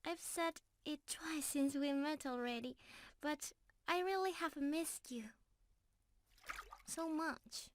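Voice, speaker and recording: Girl doing a sweet shy mermaid gf asmr, slow and high pitched, flustered and affectionate, bit of background noise. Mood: tender, shy. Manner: affectionate, flustered, girlish, with sighs and giggles. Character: sweet, bashful young mermaid, lovingly teasing and excitable. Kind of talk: intimate ASMR roleplay acting.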